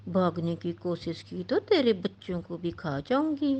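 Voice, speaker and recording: A woman's voice: fairly low pitch, lively intonation, measured pace, darkish tone, husky, dull audio, pitched below the performer's own voice. A woman voicing a sly, threatening kite, a predatory bird, in a cartoon story. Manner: scheming, threatening.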